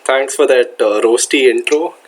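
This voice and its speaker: A man's voice: mid-range voice, normal speed, a little hiss or hum. A man from India, co-hosting a casual podcast chat, hesitant and laughing easily. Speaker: podcast co-host.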